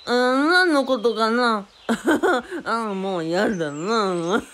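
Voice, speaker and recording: A woman playing an excitable, vain male cult leader with theatrical, fawning politeness in a radio drama. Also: fairly high pitch, drawn-out words, a little hiss or hum. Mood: jovial, flattered.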